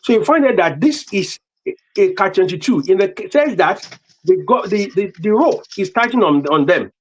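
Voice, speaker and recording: A Nigerian-accented man giving political commentary as a panelist, halting and repeating words as he goes. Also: high-pitched, animated.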